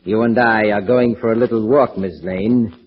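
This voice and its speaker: A man playing a coldly polite villain professor in 1940s radio thriller style, measured, smug and formal. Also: on the low side, fairly even intonation, breathy edge. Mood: quietly threatening. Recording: muffled old radio broadcast.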